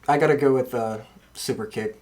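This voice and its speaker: A podcast host in relaxed, casual conversation. The voice sits in a middle pitch range, with the informal style of a fan talk show. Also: brisk, crowd noise.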